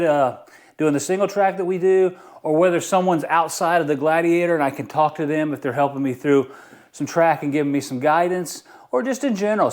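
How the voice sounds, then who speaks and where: Higher-voiced man, casual gear reviewer. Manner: informal, easygoing, thinking aloud. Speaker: product reviewer, vlogger. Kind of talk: casual unboxing and review talk.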